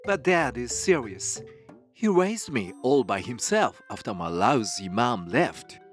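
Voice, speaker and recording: An insolent, spoiled teenage stepson acted by a man in a manga dub. The voice is mid-pitched and swings widely as he mocks, over a light music bed. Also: sing-song, a little slow. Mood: sneering. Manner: mocking, rude. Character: insolent, spoiled eighteen-year-old stepson, sneering.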